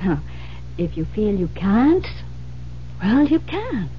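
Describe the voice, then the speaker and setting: Old time radio, muffled w/ bit of hum, lady investigator, firm, pushy, really expressive pitch. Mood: coaxing, firm. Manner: deliberate, insistent, emphatic. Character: firm, persuasive female investigator recruiting a bait. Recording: muffled vintage radio recording, humming hiss.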